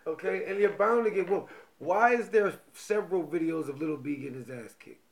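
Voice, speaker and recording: A man hosting a podcast in loose, profane casual chat, getting loud and animated when excited. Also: high-pitched, brisk, vocal fry. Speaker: podcast host.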